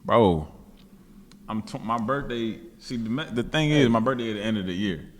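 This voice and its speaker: A man's voice: on the low side, expressive, moderate pace, a little hiss or hum. A man, co-hosting a podcast in casual, teasing banter. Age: late 20s. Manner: laid-back, laughs often, playful teasing. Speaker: podcast co-host. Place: small indoor podcast room, cold. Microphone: studio mic on a stand. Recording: echoey room reverb.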